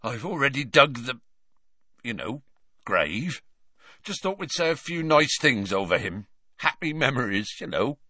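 A man voicing a huge, soft-hearted male groundskeeper, tearful and choked up, speaking in a folksy way, raised above his own voice. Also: fairly high pitch, sing-song, moderate pace, slightly rough, no room sound, clean studio sound, voice pushed up, heavily acted.

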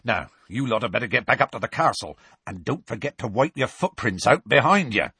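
A man's voice: mid-range voice, animated, fairly quick, sharp tone, husky, no room sound, full character voice. A man doing a hearty folksy giant. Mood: reassuring. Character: big gruff genial male groundskeeper.

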